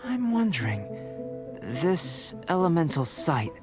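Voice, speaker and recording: A man's voice: a little high, sing-song, slow pace. Game role: young male alchemist, composed, courteous, intellectually curious, formal and articulate. Music underneath. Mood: pleased, intrigued. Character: calm young male scholar-alchemist, polite, curious, detached. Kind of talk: scripted video game dialogue.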